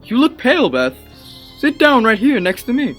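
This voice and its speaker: A man's voice: extremely high pitch, huge pitch swings, moderate pace, fairly bright tone, faint music behind, full character voice. A man playing a haunted, evasive scientist in a dramatic old-time radio drama style. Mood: agitated. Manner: dramatic, theatrical. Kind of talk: old-time radio drama acting, first-person narration.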